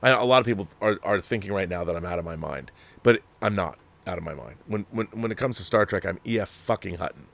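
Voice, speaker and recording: A man's voice: low-pitched, vocal fry, a bit bright. A man hosting a casual film discussion, giving emphatic, opinionated commentary in a rambling way, often breaking off to rephrase. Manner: rambling, emphatic, halting mid-sentence and rephrasing. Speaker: host of a film discussion show. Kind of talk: casual opinionated commentary, reading viewer letters.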